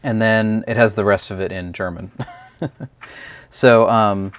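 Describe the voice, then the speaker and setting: A man's voice: on the low side, steady tone, measured pace, no echo. A man giving a casual, unscripted hands-on product review, explaining step by step in a hesitant way.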